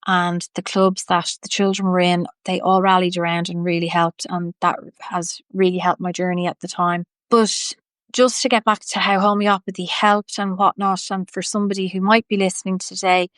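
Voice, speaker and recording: Earnest Dublin-accented woman, steady and smooth. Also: lowish voice, moderate pace, no room sound. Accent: Irish, Dublin. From Ireland. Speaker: homeopath. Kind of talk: personal story, monologue.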